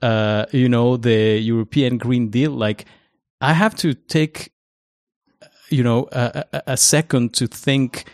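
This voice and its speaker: A man's voice: mid-range voice, slow. A man from Mexico whose first language is Spanish, speaking English in a mid-range voice. He tells anecdotes at an easy pace, full of "like" and "um". The sound is dry and close, with little room echo. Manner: relaxed, anecdotal, many fillers. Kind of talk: casual discussion.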